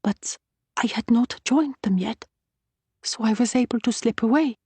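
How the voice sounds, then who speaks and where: A woman's voice: a little high, a little slow, mellow, husky, no room sound, pitched above the performer's own voice. A woman voicing an anxious, pleading young woman, a wartime resistance courier in an audiobook, with a pinched, urgent delivery. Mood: anxious, grim.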